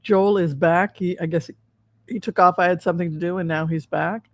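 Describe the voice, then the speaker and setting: A woman hosting a casual livestream as a psychic reader, talking in a halting, repetitive way. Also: on the low side, steady tone, no echo. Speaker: host, psychic tarot reader.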